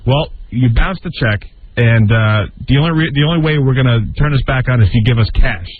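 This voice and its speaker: A man telling a casual personal story on radio, halting and repeating phrases. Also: mid-range voice, husky. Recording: tinny narrow-band radio, faint music behind.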